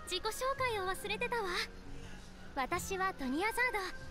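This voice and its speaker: A woman playing a gentle, devout young woman in a Japanese anime game, speaking earnestly and politely. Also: very high-pitched, lively intonation, normal speed, loud music behind the voice. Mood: friendly, eager. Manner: earnest, polite feminine phrasing.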